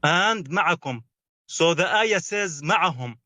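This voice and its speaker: A man's voice: a little high, lively intonation, moderate pace, bright, forceful tone, dry recording. A man calling into a debate show who speaks insistently in broken English and talks over the host. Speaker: caller. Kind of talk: call-in religious debate.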